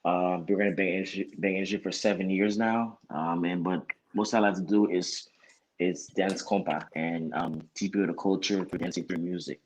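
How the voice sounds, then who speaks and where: A man's voice: low-pitched, fairly even intonation, moderate pace, slight background noise, low-quality recording. A young man from Haiti who came to the US as a child, talking casually as a podcast guest. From born in Haiti, moved to the US as a child. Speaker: podcast guest, dance instructor.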